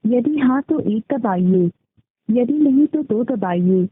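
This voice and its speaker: A woman's automated phone menu voice reading scripted prompts in a formal, measured, instructional manner. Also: a little high, animated. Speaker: automated phone line announcer. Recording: muffled, phone-like, roomy call playback. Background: phone call recording played back.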